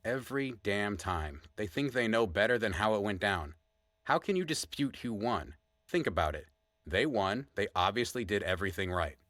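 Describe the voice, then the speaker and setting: A man's voice: fairly low pitch, expressive, moderate pace, fairly bright tone, no room sound, studio quality. A man acting as a blunt, exasperated podcast co-host in a conversational audio drama. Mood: indignant. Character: Sonny, fed-up, indignant male podcast co-host.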